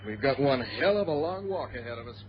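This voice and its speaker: An actor playing a steady, cautious airline captain with a hesitant, practical way of talking in a radio drama. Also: male voice, mid-range voice, brisk, lightly acted. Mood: firm, weary. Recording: noisy muffled vintage radio drama recording.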